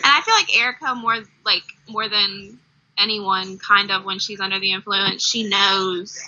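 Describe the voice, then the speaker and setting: A woman's voice: mid-range voice, fairly even intonation, normal speed, sharp tone. An American woman in her twenties giving casual, conversational interview answers. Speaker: interviewee, family member. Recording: dull, lo-fi sound.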